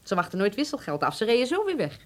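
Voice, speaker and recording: A woman's voice: medium pitch, huge pitch swings, quick pace. An actress playing a wistful woman recalling past days in a radio drama. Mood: reminiscing.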